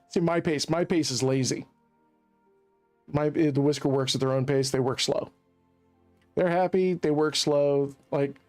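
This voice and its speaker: A man's voice: on the high side, darkish tone. A man from Central Virginia chatting in a rambling, joking way while streaming a colony game. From Central Virginia, USA. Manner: rambling, chatty, laughs at his own jokes. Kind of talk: casual gameplay commentary.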